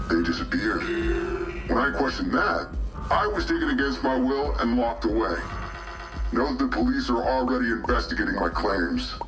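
A man's voice: medium pitch, lightly acted, rough recording. An actor playing an outspoken male film star and former cult member, giving an emphatic, theatrical testimonial in a video game. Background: music.

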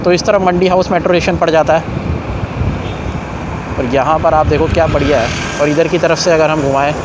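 A man's voice: a little high, level tone, fairly quick. A young man gives casual commentary in a raised voice while riding a scooter. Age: young adult. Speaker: vlogger. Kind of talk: casual moto-vlog commentary. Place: on a moving scooter on city roads at night. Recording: noisy street and vehicle ambience.

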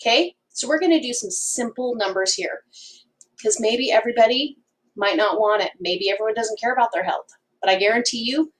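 Woman, 40s, salon owner giving an emphatic business talk; fairly high voice, recorded from across the room. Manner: emphatic, ends points with "okay?". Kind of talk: whiteboard business talk to camera. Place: home room with bookshelf and whiteboard. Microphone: camera across the room. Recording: voice from a distance.

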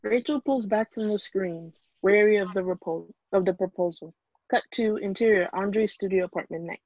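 A woman's voice: medium pitch, moderate pace, a little mellow, no echo. A woman reading stage directions aloud as scripted narration at a film table read. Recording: dull lo-fi video call audio.

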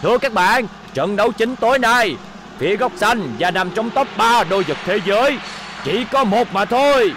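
A man's voice: high-pitched, animated, normal speed, noisy background. A man voicing adult characters in a cartoon dub, emphatic and theatrical. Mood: showy. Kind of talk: dramatic cartoon dubbing.

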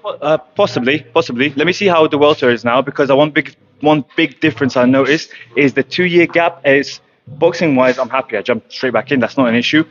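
A young man from Croatia, a professional boxer, speaking in a matter-of-fact way with many pauses and ums in an interview at a gym. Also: mid-range voice, fairly bright tone, dull audio. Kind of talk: casual interview. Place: boxing gym, indoors. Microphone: handheld mic held close.

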